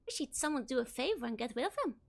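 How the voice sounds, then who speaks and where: A hoarse woman voicing a grumbling, put-upon male innkeeper who complains and gossips gruffly. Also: high-pitched, lively intonation, very fast. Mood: gossipy.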